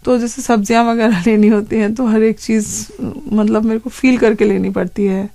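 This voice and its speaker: Woman, higher-pitched, creaky voice, close dry sound, candid conversational storytelling. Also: little pitch movement, moderate pace, mellow, no echo. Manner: candid, explanatory, mixes English words into speech. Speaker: interview guest, lawyer. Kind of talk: conversational personal storytelling in an interview.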